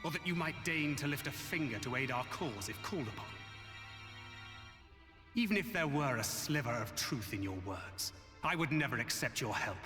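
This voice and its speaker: An actor playing an indignant, distrustful hero in a video game, speaking formally and with stern emphasis. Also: male voice, medium pitch, lively intonation, normal speed, pushed and bright. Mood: indignant, defiant. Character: indignant, distrustful heroic man, sternly principled. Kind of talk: dramatic video game voice acting. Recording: long hall reverb, music behind.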